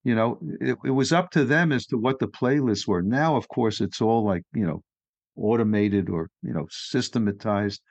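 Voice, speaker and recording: Chatty podcast host on a dull line. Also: male voice, lowish voice, moderate pace, darkish tone, dry recording. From New York. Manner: chatty, opinionated, asks leading questions. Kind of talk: podcast interview.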